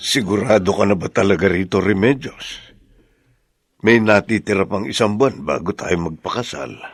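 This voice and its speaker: An actor playing a humble middle-aged farmer in a radio drama, earnest and unsure of himself. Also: male voice, on the low side, moderate pace, slightly rough. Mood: doubtful. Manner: hesitant, earnest.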